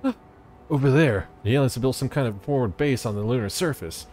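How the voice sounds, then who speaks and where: A man voicing an excitable, pompous tabloid reporter with a bombastic, dramatic delivery for a video game. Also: mid-range voice, a little fast, slight background noise, lightly acted. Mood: alarmed. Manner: bombastic, declamatory, stammering in alarm.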